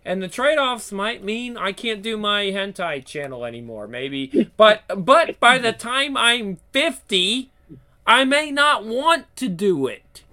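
Guy in his thirties, high bright voice, blunt and sweary, rambles on. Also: animated, slow pace. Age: 30s. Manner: blunt, profane, rambling with many restarts. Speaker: YouTube channel host. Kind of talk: casual chat.

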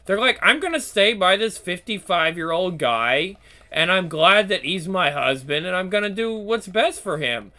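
Casting: man in his 30s, channel host, high bright voice, blunt, swears a lot, rambling chat. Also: animated, normal speed. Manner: blunt, profane, rambling with many restarts. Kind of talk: casual chat.

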